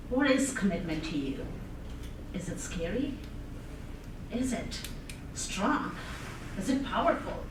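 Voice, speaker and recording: A woman with an Indian accent giving an interactive speech, asking the audience questions and laughing often. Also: mid-range voice. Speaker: public speaker. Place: conference room with audience. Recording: echoey room, voice from far off, noisy.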